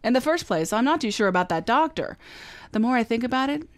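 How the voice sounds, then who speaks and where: An actress playing a wry, confident private detective who narrates a radio drama, speaking briskly. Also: female voice, on the high side, very animated, dry recording, clean studio sound. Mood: suspicious. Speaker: narrator and lead.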